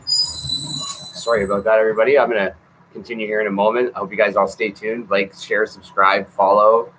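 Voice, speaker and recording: A man's voice: lowish voice, level tone, a little fast, slight background noise, poor audio. Earnest man reading outdoors on a porch. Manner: earnest, gentle, spiritual. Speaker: reader of a classic text. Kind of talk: reading aloud with casual asides.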